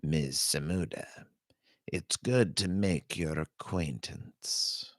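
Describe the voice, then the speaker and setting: Man voicing a cold, papery old woman, hushed. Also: very deep voice, no echo, studio quality, full character voice. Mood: cold, quiet. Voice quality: soft, papery. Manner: cold, formal, deliberate. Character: cold, menacing old woman assassin leader. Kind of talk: storybook character reading.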